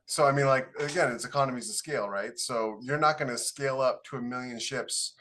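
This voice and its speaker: A man's voice: medium pitch, a little fast, fairly bright tone, a little hiss or hum. A man with an American accent talking as a podcast co-host, explaining his points informally in a casual panel discussion.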